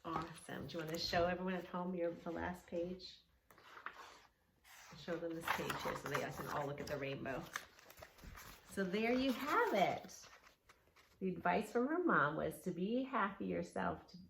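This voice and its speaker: A woman's voice: on the low side, expressive, moderate pace, gravelly, long pauses. A woman hosting a children's read-along, explaining simply and asking a child encouraging questions, with patient teaching. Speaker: host of a children's yoga story read-along. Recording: roomy echo with faint background noise.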